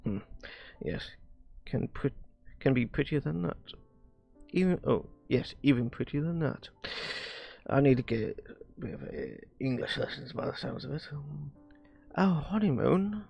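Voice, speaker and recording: A man reading visual novel dialogue aloud on a live stream, haltingly, often stumbling over words and repeating phrases. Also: mid-range voice, big pitch swings, creaky, breathy edge, lo-fi sound, soft background music.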